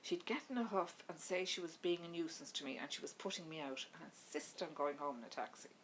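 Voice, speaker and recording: A woman voicing a brisk, unyielding, matter-of-fact wife in an audiobook story. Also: low voice, slight background noise, lightly acted, poor audio. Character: brisk, unyielding Spanish wife, calmly argumentative.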